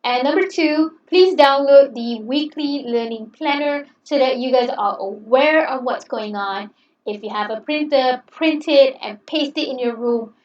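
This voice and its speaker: A woman's voice: sits high, a little slow, darkish tone. A woman lecturer who speaks English as a second language, encouraging and chatty, often checking in with "okay". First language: not English. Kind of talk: online class introduction. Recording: roomy, distant, dull sound.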